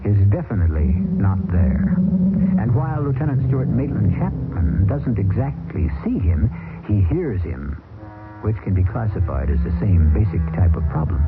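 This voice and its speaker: A man's voice: on the low side, sing-song, loud music behind the voice. Ominous radio drama host, man. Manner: measured, ominous. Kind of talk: theatrical scripted narration. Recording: tinny old radio broadcast.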